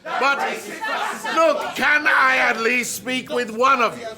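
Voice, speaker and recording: An actor playing a provocative, condescending professor speaking emphatically in a radio drama. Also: male voice, very high-pitched, takes it slowly, over a noisy crowd, heavily acted. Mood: exasperated, combative. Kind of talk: radio drama acting, public lecture.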